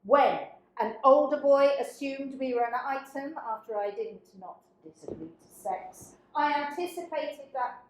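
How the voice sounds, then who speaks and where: A woman giving a deliberate, dramatic spoken-word reading, with emphatic phrase openings that fall away to quieter endings. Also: sits high. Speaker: dramaturge reading a participant's written piece. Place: echoey indoor workshop room. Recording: echoey room.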